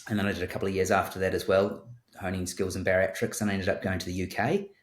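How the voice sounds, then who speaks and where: A man's voice: low voice, level tone, fast. A man with a New Zealand accent, a guest surgeon speaking in a thoughtful conversational interview and often repeating words as he starts a sentence. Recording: roomy echo.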